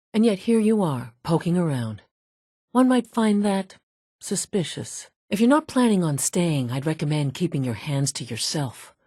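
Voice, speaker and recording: A woman's voice: lowish voice, a little mellow, husky, no room sound, heavily acted. A woman playing a brusque, dry-witted scientist from an underground institute in a video game, businesslike and wry. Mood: suspicious, cool. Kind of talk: video game character dialogue.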